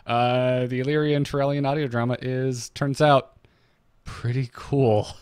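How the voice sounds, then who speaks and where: A man co-hosting a podcast, talking casually and off the cuff in a halting, wry, conversational way. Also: medium pitch, little pitch movement, darkish tone, smooth, no room sound, clean studio sound. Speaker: podcast co-host. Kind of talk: casual, unscripted fan discussion.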